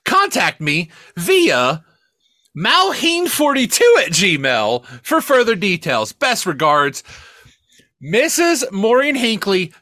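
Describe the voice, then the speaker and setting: Man putting on a florid, high-pitched spam-email writer. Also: huge pitch swings, takes it slowly, bright, forceful tone, no room sound, studio quality, exaggerated voice. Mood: grandly generous.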